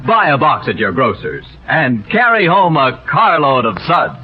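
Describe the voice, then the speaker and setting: A man reading a 1940s radio soap commercial with an upbeat, punchy pitch. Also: big pitch swings, measured pace, over loud music. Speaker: commercial announcer. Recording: dull 1940s radio recording.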